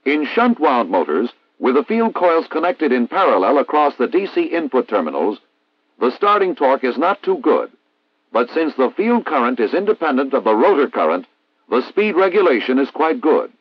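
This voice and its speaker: An American man reading a scripted instructional narration in a formal, measured, didactic way, as in a 1960s military training film. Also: medium pitch, very animated, no room sound. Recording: dull vintage 1960s film soundtrack.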